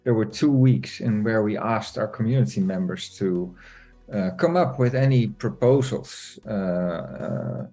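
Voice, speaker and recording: A man hosting an online community meeting, talking in a relaxed, chatty way with many fillers. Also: lowish voice, measured pace, faint music behind. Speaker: meeting host.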